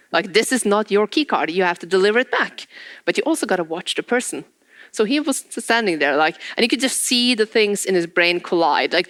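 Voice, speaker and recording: A woman's voice: on the low side, no room sound. A woman in her thirties with a Norwegian accent, telling stories to an audience with laughs and acted-out quotes. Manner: lively, laughs between anecdotes, acts out quoted exchanges. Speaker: conference speaker. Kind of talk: storytelling talk to an audience.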